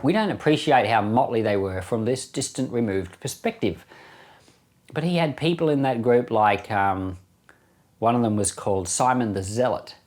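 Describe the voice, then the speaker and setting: A man's voice: medium pitch, moderate pace, a little mellow. A middle-aged man gives informal Bible commentary as a pastor, explaining things conversationally and repeating phrases for emphasis. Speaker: pastor and Bible podcast host.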